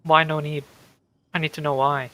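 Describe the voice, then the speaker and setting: A man's voice: a little high, level tone, normal speed, pushed and bright. A man reacting casually to a video game as a streamer, in short, informal interjections. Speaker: gaming streamer. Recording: game music behind voice.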